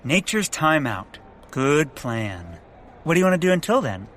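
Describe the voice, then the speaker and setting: A man's voice: a little high, big pitch swings, unhurried, a bit bright, a little hiss or hum. A man playing an earnest, naive and socially awkward amateur sleuth who rambles, in a comedic audio drama. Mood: awkward, hopeful.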